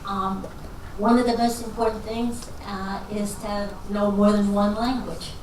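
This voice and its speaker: A woman's voice: mid-range voice, level tone, unhurried, darkish tone. Joking woman professor in an echoey hall. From Texas. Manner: folksy and self-deprecating, jokes and laughs as she talks. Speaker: guest speaker, finance professor. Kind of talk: answering questions at a lecture. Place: lecture hall. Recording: echoey hall recording from far off, with noise.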